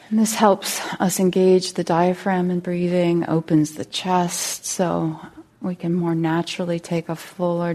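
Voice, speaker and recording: A woman leads a guided meditation as a teacher, speaking gently and unhurriedly with long pauses. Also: on the low side.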